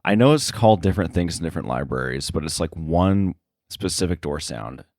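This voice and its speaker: A man chatting casually as an interview guest, halting and thinking aloud as he explains. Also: sits low, animated, a little mellow, dry recording, clean studio sound. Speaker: podcast guest, sound editor.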